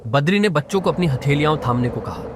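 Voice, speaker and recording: A man's voice: on the high side, expressive, fast talker. A man narrating a scripted moral story for children as a storyteller. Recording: clearly noisy background.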